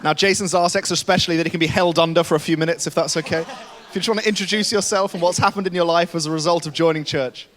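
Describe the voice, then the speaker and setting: A man's voice: fairly high pitch, fairly even intonation, fast talker, crowd noise. A man hosting a church baptism service, introducing each person with enthusiastic encouragement. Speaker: pastor leading baptisms.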